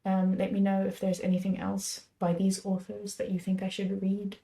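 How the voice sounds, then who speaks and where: A young woman hosting her book channel, chatting about what she plans to read next in a rambling, self-correcting way, her voice a little hoarse from being sick. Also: medium pitch, completely flat delivery, normal speed, dark tone. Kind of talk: casual chat about books.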